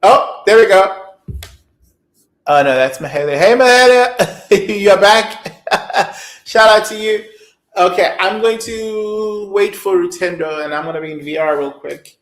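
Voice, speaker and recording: A middle-aged man chatting casually as a livestream host, animated, encouraging and quick to exclaim, on a video call. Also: high voice. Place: indoor room, video call. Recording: echoey room on a video call.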